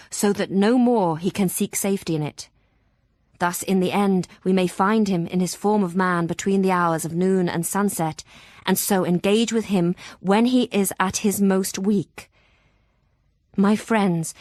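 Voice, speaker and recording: Period audio drama: woman as an earnest young Victorian lady, medium pitch, solemn deliberate narration, dry close sound. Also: a little mellow, dry recording, close to an everyday voice.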